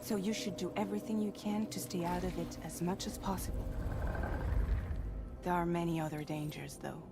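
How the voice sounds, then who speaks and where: An actress plays a serious, composed woman giving a mission briefing in a video game. Her voice sits low and she explains carefully, with earnest persuasion. Prominent cinematic music runs behind her. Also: fairly even intonation, moderate pace, natural voice. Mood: grave, explanatory. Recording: studio voice under loud cinematic music.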